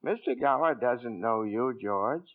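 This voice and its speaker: An actor playing a kindly, naive, centuries-old angel in 1940s radio style, gentle, earnest and quaintly fussy. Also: male voice, mid-range voice, animated, a little slow, close to an everyday voice. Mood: gently explaining. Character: kindly, naive 293-year-old male angel without wings. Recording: tinny vintage 1940s radio recording, music behind.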